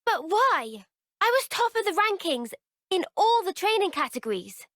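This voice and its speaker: A proud young female soldier in a game cutscene. Her voice is high and very changeable in pitch, with a curt, frustrated edge. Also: very animated, normal speed, fairly bright tone, no room sound, clean studio sound, heavily acted. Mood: shocked, protesting. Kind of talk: video game cutscene acting.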